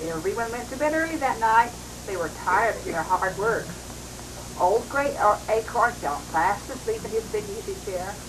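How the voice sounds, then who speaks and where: A woman's voice: mid-range voice, lively intonation, moderate pace. An older woman, a grandmother, reading a storybook aloud to children on a noisy old home recording. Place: at a family Christmas party.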